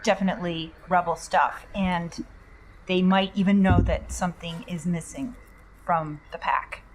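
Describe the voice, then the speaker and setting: A woman's voice: lowish voice, steady tone, unhurried, slight background noise, low-quality recording. A woman playing a tabletop role-playing game, casually improvising her character's lines and actions.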